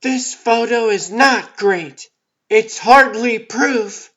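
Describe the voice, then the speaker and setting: A man's voice: very high-pitched, slow pace, pushed and bright, highs cut off, exaggerated voice. A man voicing an assertive, indignant female news reporter in a comic dub, insistent and confrontational.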